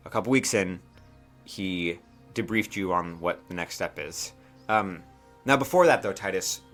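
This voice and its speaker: Man, game master, low-pitched, bright voice, casual hesitant narration, faint music behind.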